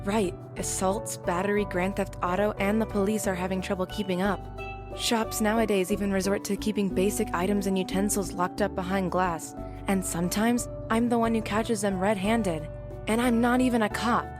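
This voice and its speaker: A tough young ex-military security woman, played by an actress in an audio drama. She is blunt and serious, with a protective, grumbling edge and a middling pitch. Music plays clearly behind her. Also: fairly even intonation, moderate pace, lightly acted. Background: background music.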